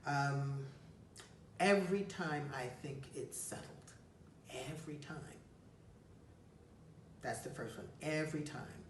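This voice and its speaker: Low, slow woman coach in echoey room. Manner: deliberate, emphatic, coaching. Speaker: host of an advice series, life coach. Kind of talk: advice talk answering a listener's question. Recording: roomy echo, distant mic, faint noise.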